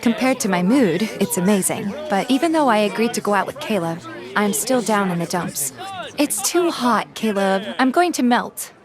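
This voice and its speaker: A woman's voice: mid-range voice, lively intonation, moderate pace, over music, crowd noise, natural voice. An actress playing a sulky but fond young woman, narrating in the first person and acting out her grumbling lines. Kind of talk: first-person story narration with acted dialogue.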